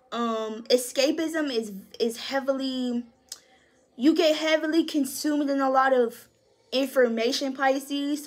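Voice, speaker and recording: A woman giving a casual tarot reading in a high voice, swearing freely and talking with slangy emphasis. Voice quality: high-pitched voice. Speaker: tarot reader.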